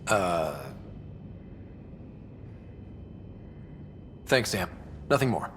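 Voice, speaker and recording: A man's voice: low-pitched, lively intonation, a little slow, a bit bright, in a large hall, slight background noise. A man playing an earnest, capable young expedition leader in a science fiction video game, straightforward in manner. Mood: casual.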